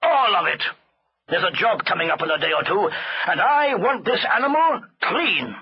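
A man's voice: high-pitched, big pitch swings, moderate pace, husky, muffled recording, voice pushed up, exaggerated voice. A man voicing a bad-tempered, blustering male elephant master in a storybook, scolding and roaring with exasperation. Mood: exasperated. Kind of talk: storybook character voice.

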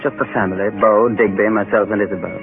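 A man's voice: fairly low pitch, steady tone, music in the background, lightly acted. Actor as grave Englishman narrating, tinny radio. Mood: reminiscent. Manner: measured, solemn storytelling. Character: grave adult Englishman, sole surviving brother, recalling his childhood. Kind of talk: scripted first-person narration, 1930s radio drama. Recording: tinny 1930s radio broadcast.

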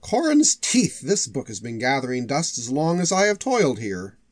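A man's voice: mid-range voice, wildly swooping pitch, normal speed, dry recording. A man voicing a vigorous old master archivist in an audiobook, dry and teasing, with pompous, theatrical phrasing. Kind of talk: audiobook character voice.

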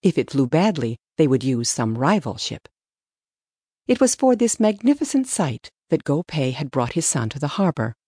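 A woman narrates an audiobook story from a script. Her voice is low for a woman and recorded dry and close, with little room sound. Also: sing-song, normal speed, mellow, slightly rough, no room sound.

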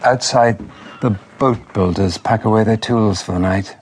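An actor playing a somber, reflective man in a radio drama, speaking in a measured, brooding way. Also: fairly low pitch, a little mellow, slight background noise, natural voice. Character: somber reflective male friend narrating a murder scene. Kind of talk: radio drama acting, intimate narration.

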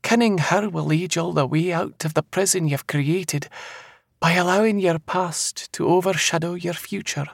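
A man's voice: sits high, moderate pace, gravelly, no room sound, studio quality, voice pushed up. A man voicing an eerie female seer with a Scottish accent, making cryptic, certain prophecies in archaic dialect for an audiobook. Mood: knowing, pointed. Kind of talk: dramatic audiobook character voice.

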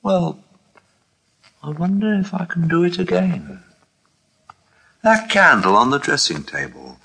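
A man's voice: on the high side, sing-song, measured pace, creaky, pushed and bright, slightly rough, pitched above the performer's own voice, full character voice. A man playing a timid, bewildered clerk who mutters hesitantly to himself in a vintage radio drama. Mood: puzzled, musing. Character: timid, bewildered male clerk testing his miraculous powers.

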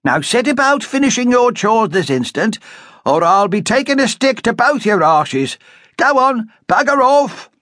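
A man's voice: high-pitched, very animated, measured pace, no room sound, studio quality, pitched above the performer's own voice, heavily acted. A man voicing a stout, bossy middle-aged innkeeper's wife, blunt and scolding in earthy dialect.

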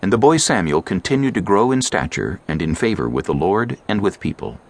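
A man narrating scripture from a script as a measured, expressive storyteller. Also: low voice.

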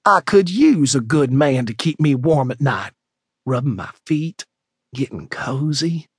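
A man voicing a bossy, folksy woman who runs a shop kitchen, scolding loudly in down-home slang. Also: mid-range voice, expressive, unhurried, slightly rough, no echo, studio quality. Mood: teasing.

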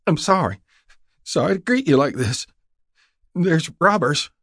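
A man's voice: fairly high pitch, sing-song, normal speed, slightly rough, dry recording, studio quality, pitched above the performer's own voice, full character voice. A man voicing a frightened young rural mountain widow in an audiobook, breathless and pleading, with rustic speech. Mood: shaken, apologetic. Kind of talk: audiobook character dialogue.

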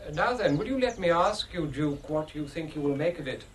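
An actor playing a polite, inquisitive gentleman in a historical audio drama, asking questions in a hesitant, probing manner. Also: a little high, animated, a little fast, slight background noise, natural voice. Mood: curious.

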